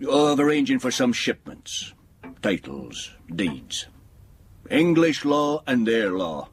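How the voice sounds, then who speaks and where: An actor playing a kindly older solicitor in a period audio drama, genteel, avuncular and reassuring. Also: male voice, medium pitch, slightly rough, full character voice.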